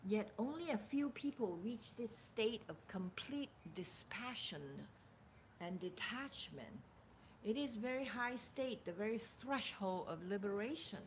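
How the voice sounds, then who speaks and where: A woman reading spiritual teachings aloud in a deliberate, emphatic way, sometimes dropping toward a breathy near-whisper. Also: fairly high pitch, lively intonation, a little hiss or hum, rough recording. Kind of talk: slow dramatic reading of spiritual dialogue with casual asides.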